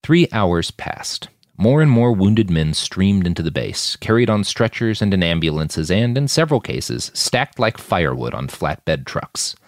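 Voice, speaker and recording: Male audiobook narrator: deep register, lively inflection, scripted storytelling, dry sound. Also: measured pace, hardly any pauses, dry recording. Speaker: author narrator.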